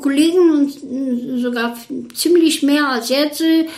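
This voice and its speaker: An older woman from Bulgaria with a Bulgarian accent, a violinist recalling her past in an interview in slow, hesitant German full of fillers. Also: high-pitched, darkish tone. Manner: hesitant, many fillers, searching for German words. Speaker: orchestra violinist. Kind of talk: interview recollection.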